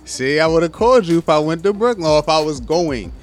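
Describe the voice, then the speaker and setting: A man's voice: fairly high pitch, animated, fairly quick, soft background music. A man co-hosting a casual talk show, dropping dry, teasing one-liners. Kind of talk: casual banter.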